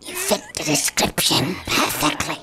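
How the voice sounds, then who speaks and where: An actor voicing a slow-witted, gruff guard creature in a cartoon video game, plodding and easily confused. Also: medium pitch, bright, forceful tone, slight background noise. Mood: officious.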